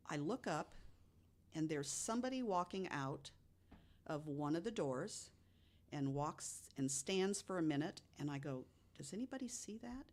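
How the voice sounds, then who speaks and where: A woman with a distinctly low-pitched voice tells a ghost story in a conversational podcast style. She lays it out step by step and leaves dramatic pauses along the way. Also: darkish tone. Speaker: podcast guest co-host.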